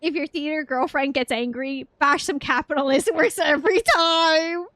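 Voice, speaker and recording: A woman streamer giving casual live game reactions in a slangy, expressive, giggly way. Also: sits high, normal speed, dry recording, studio quality.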